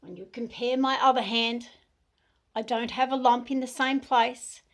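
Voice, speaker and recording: A middle-aged Australian woman speaking to the camera in an earnest, deliberate way, in short measured phrases. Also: on the high side, little pitch movement. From New South Wales, Australia. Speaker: self-represented complainant. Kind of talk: monologue to camera.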